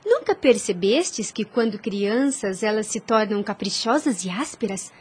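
A woman playing a gentle, pious young street singer and caring sister in a radio drama, speaking with earnest formality. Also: medium pitch, lively intonation, measured pace, husky. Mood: gently instructive.

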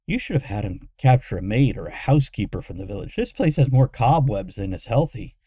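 A man's voice: mid-range voice, lively intonation, fairly quick, dark tone, slightly rough, dry recording, studio quality. A man voicing a sarcastic, exasperated adventurer woman who snaps her lines in a fantasy audiobook. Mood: snappy, sarcastic.

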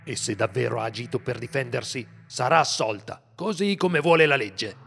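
A man's voice: medium pitch, moderate pace, creaky, a bit bright, soft background music. A man voicing a stern male monster hunter who holds to the law, in an Italian radio drama, with a grave, firm manner.

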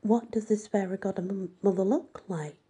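A woman's voice: mid-range voice, fairly even intonation, measured pace, mellow, breathy edge. A woman voicing a stern, impatient, demanding woman who leads a teen therapy group in an audiobook. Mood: probing. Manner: probing, demanding.

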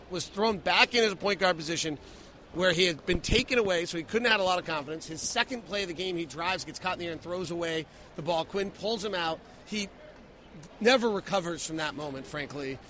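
Male sports radio host, high-pitched and forceful, emphatic post-game analysis, faint background noise.

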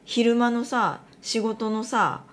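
A woman's voice: a little high, slow pace. A woman with a central Japanese regional dialect chatting casually, telling her story and acting out bits of quoted talk. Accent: central Japanese regional dialect (Tokai-Kansai). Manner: animated storyteller who acts out quoted remarks. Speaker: wife telling about her side job.

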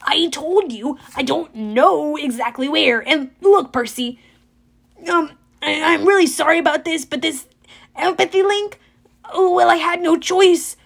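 A woman's voice: high-pitched, a little slow, vocal fry, voice pushed up. A woman voicing a nervous, frightened young male satyr in an audiobook, pleading and anxious. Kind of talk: audiobook character voice.

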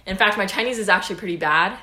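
A teenage girl from the US, co-hosting a podcast in a relaxed, chatty way with lots of "like" and "um". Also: mid-range voice, racing, echoey room. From United States. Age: teens. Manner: relaxed, chatty, many fillers like "like" and "um". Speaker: podcast host. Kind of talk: casual chat.

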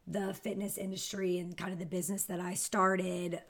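A raspy-voiced woman in her thirties from Northern Virginia, an upbeat, motivational fitness business founder chatting as a podcast guest. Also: lowish voice, little pitch movement, normal speed. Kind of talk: casual interview chat.

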